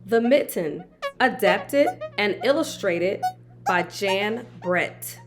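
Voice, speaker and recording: A woman narrating a children's picture book in an expressive storytelling manner. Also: mid-range voice. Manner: slow, animated storytelling. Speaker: children's read-aloud host. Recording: music behind the voice. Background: background music.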